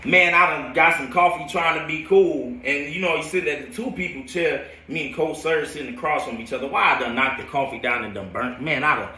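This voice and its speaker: An American man who coaches football, telling stories casually and in an animated, slangy, rambling way on a live stream. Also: fairly high pitch, brisk, few pauses. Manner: animated, slangy, laughs often. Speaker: football coach hosting a storytelling show. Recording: roomy echo, distant from the mic.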